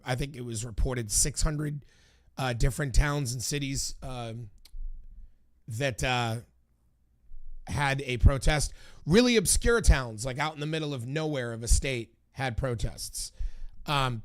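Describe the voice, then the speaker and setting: A man with a hoarse voice hosting a casual political commentary video, talking in an indignant, emphatic and repetitive way. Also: medium pitch, animated, measured pace. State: hoarse from singing.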